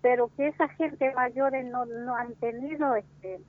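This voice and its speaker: Halting woman on a tinny phone line. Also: a little high, steady tone. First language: Wichí. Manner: slow, halting, many restarts and fillers. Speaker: interviewee. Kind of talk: interview answer.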